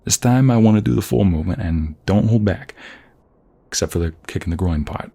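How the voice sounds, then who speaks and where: A man playing a protective, flirtatious mobster husband in an ASMR roleplay, gravelly and husky, coaxing his partner with affection. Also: sits low, fairly quick, warm tone, exaggerated voice. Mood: patient, instructing.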